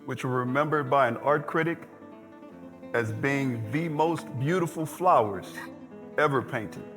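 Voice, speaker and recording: A man acting as an admiring storyteller in a stage play, fondly recounting a painter's life in deliberate, pause-filled phrases. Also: mid-range voice, natural voice. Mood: reverent, fond. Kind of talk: theatrical stage monologue. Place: indoor stage performance space. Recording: echoey stage hall, music behind. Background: plucked guitar music.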